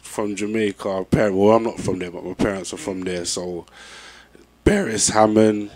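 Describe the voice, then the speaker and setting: Young London man, relaxed MC guest telling stories. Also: lowish voice, measured pace. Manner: laid-back, peppered with "you know what I'm saying". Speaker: MC interviewee. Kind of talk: casual interview chat.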